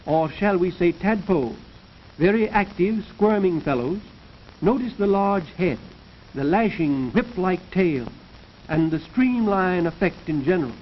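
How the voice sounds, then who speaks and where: Male narrator, high-pitched, formal and measured, 1930s instructional film style, muffled vintage soundtrack. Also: slight background noise. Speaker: narrator of an educational science film. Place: recorded voice-over for a film.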